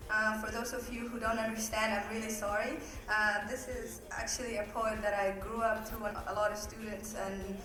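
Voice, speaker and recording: A woman's voice: mid-range voice, level tone, moderate pace, hardly any pauses, crowd noise. A woman briefly introducing a poem from her childhood on stage, hesitant and apologetic. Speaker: poetry reciter introducing her piece. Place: event hall. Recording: echoey hall from far off.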